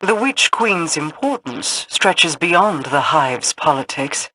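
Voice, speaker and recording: A woman's voice: deep voice, animated, slow, bright, forceful tone, dry recording, full character voice, poor audio. An actress playing a regal, solemn queen who speaks cryptically and imperiously in a video game. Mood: grave.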